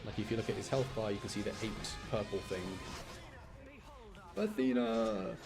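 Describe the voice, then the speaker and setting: A man giving casual gameplay commentary in an excitable way, repeating phrases for emphasis as he shows clips. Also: on the low side, moderate pace, over loud music. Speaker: gamer showing gameplay clips.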